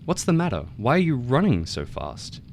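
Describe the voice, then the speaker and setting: A man's voice: medium pitch, huge pitch swings, moderate pace, vocal fry, darkish tone, pitched above the performer's own voice. A man voicing a little, overconfident fox in a folktale, mocking and incredulous. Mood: puzzled. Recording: noticeable background noise.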